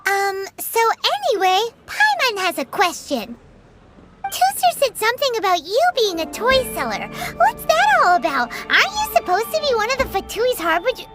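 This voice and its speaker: A woman voicing a tiny, cheeky, suspicious fairy companion in a video game, squeaky and childlike, talking about herself by name. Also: wildly swooping pitch, moderate pace, heavily acted. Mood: inquisitive. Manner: refers to herself by name, sassy. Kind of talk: video game character acting. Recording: loud music behind the voice. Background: music.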